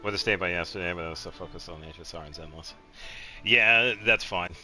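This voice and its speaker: A man casually commentating a game on a live stream, chatting with viewers and thinking aloud in a low-key, rambling way. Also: brisk. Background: game music.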